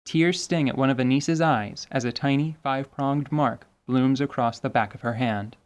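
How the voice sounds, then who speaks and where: A man's voice: medium pitch, normal speed, clean tone. A man reading scripted narration for a fantasy audio drama.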